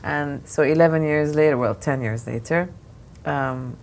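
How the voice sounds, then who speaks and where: Low-voiced woman, thoughtful guest. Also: unhurried, a little mellow. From Lebanon. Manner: thoughtful, frequent restarts and fillers. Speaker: art fair director, guest. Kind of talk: podcast interview answers. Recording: faint background noise.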